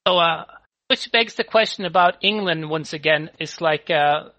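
A man with a French accent, a guest on an online call show, talking in a halting way with many fillers and restarts. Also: on the high side, sharp tone. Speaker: podcast guest. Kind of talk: casual online chat. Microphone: video call. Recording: lo-fi call audio.

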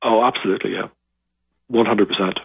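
A man's voice: fairly low pitch, fairly even intonation, gravelly, husky. Irish man on a tinny phone line. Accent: Dublin Irish. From Ireland (Dublin). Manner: halting, many restarts and fillers, emphatic claims. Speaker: guest, author. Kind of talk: remote interview, casual talk.

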